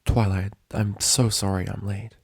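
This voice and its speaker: A man's voice: lowish voice, level tone, measured pace, creaky, a little mellow, breathy edge, no room sound, studio quality, heavily acted. A man voicing a regal female pony princess in a story reading, weary, grave and reserved. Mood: grave, subdued. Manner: subdued, reserved.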